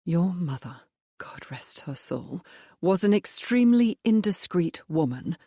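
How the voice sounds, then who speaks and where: A woman's voice: low-pitched, slightly rough, no room sound, clean studio sound. A woman reading as a firm, composed young woman. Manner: firm, quiet and measured. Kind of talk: audiobook dialogue.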